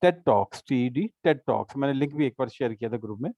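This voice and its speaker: A man teaches English patiently, mixing in examples and frequent check-ins. His voice is mellow and medium-pitched, recorded dry and close. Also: lively intonation, fast talker, dry recording, muffled recording. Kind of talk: conversational tutoring session.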